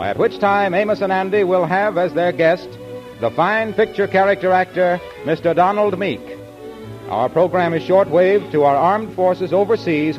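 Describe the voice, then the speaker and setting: A man announcing in scripted 1940s radio style, signing off a show and making appeals to listeners. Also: high-pitched. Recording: muffled 1940s radio broadcast recording.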